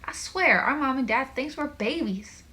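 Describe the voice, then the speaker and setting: A woman's voice: medium pitch, expressive, moderate pace, bright, forceful tone. A woman voicing a fed-up young schoolboy in a children's storybook, grumbling with an emphatic, complaining manner. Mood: resentful.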